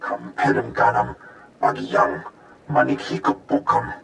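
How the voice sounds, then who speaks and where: An actor voicing a male alien analyst in a video game, speaking guttural invented syllables in short phrases with a gravelly voice. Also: medium pitch, little pitch movement, a little slow, a bit bright. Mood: businesslike. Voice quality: gravelly, creaky.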